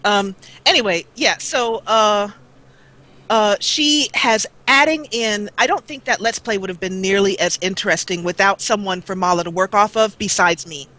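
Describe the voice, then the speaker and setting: Bright-voiced woman chatting on stream. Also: medium pitch, moderate pace. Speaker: guest, gaming roleplay video creator. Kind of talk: casual live-stream chat. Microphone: low-grade microphone on a live call.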